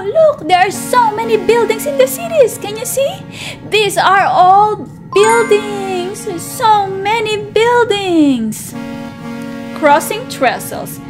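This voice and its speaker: A woman teacher reading a picture book aloud to toddlers in an animated, sing-song manner, with simple repeated words and questions. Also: very high-pitched. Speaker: children's teacher and read-aloud host. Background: background music.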